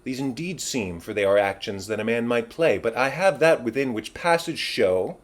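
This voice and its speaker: A man's voice: medium pitch, expressive, normal speed, lightly acted. A man performs a young prince in mourning from a Shakespeare play. He is brooding and bitter, and delivers the verse with theatrical intensity, as in a dramatic audiobook. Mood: wounded, indignant.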